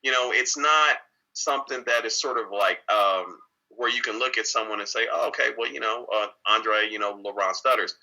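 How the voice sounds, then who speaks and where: A man on a video call answers an interview question in a candid, reflective way, with a low-pitched voice that comes across bright and tense. He often repeats words and restarts his sentences, and he stays earnest throughout. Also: moderate pace, lo-fi sound. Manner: talks openly with frequent repeated words and restarts. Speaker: interview guest.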